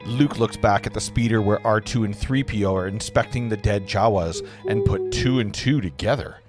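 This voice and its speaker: A man's voice: fairly low pitch, wildly swooping pitch, normal speed, over music. A man reading screenplay scene directions aloud as the narrator of a table read.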